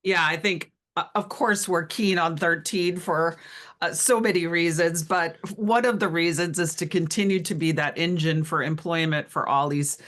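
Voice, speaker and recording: A woman's voice: lowish voice, normal speed, fairly bright tone. A woman hosting a podcast interview in a casual, informal and enthusiastic way.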